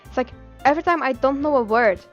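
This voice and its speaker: High-pitched Belgian Dutch woman streaming, music behind. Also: fast, lo-fi sound. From Belgium, Dutch-speaking. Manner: giggly, expressive, stumbles over words. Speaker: live game streamer. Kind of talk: live stream chat and reading game text aloud.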